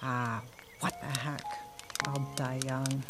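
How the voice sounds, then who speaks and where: Woman doing a sharp-tongued wife, teasing, bossy, audio drama with music underneath. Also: a bass voice, flat delivery, takes it slowly. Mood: wry.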